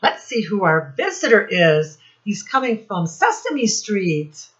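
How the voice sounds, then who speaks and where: A woman's voice: medium pitch, sing-song, measured pace, lo-fi sound. An American woman hosting a storytime lesson for young children, asking questions and addressing them directly as their teacher. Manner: instructive, asks questions, addresses listeners directly. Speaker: children's teacher and read-aloud host. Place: home office classroom.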